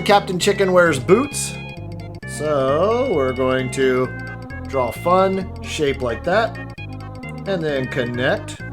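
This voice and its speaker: Man, drawing lesson host, casual, chatty, playful thinking aloud, prominent music bed. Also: high voice. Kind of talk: casual step-by-step drawing tutorial. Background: background music.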